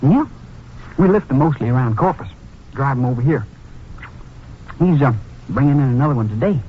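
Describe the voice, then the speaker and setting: An actor playing an eager, boastful young American car thief in a slangy, cocky manner, in a 1950s radio drama. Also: male voice, medium pitch, expressive, moderate pace, a little hiss or hum, lightly acted. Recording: muffled vintage 1950s radio recording.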